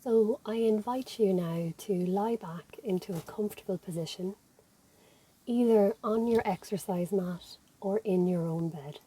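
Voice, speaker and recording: A woman with an Irish accent leading a guided meditation as an instructor, speaking in a gentle, soothing, unhurried manner. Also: medium pitch, breathy edge, poor audio. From Ireland. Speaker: physiotherapist and yoga instructor leading relaxation.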